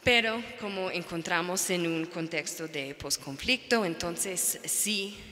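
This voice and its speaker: Slow, halting woman, native English speaker. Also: on the low side, pushed and bright. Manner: halting, searching for words. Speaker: panelist, NGO researcher. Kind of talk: academic panel talk. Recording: reverberant lecture hall.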